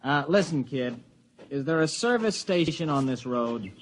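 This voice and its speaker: Animated, exasperated American grad student. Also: male voice, a little high, measured pace, a little hiss or hum. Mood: irritated. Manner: testy, animated, educated. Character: exasperated, irritable male university graduate student. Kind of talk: audio drama acting.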